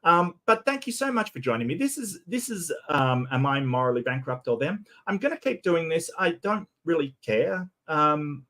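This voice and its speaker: A man hosting a solo stream, talking in a casual way. His voice is croaky and tired, and he often stammers and repeats his first words. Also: fairly high pitch, very animated, normal speed, few pauses, bright, forceful tone. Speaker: streamer host.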